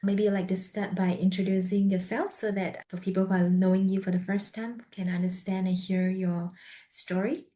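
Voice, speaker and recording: A woman hosting a podcast interview over a video call, speaking gently and softly with occasional nervous laughs. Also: medium pitch, flat delivery, moderate pace. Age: adult with a young son. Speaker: podcast host. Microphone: video call recording.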